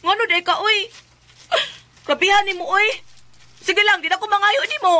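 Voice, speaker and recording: A woman's voice: very high-pitched, moderate pace, noisy background. A woman playing a cheeky, defiant wife in a comedy skit, whiny and exclamatory, with a Cebuano Bisaya accent. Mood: defensive. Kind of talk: colloquial comedy skit acting.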